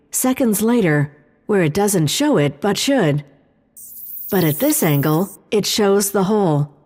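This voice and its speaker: A woman playing a calm, precise ship computer in an audio drama, explaining things in a measured, formal manner. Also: medium pitch. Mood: calm, helpful. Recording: long reverberant hall-like echo.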